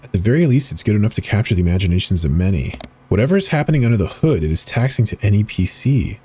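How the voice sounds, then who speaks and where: A man giving a slow, deliberate dramatic reading of forum posts and web pages in an ominous tone. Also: on the low side, expressive, warm tone, slight background noise. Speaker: channel host reading horror texts.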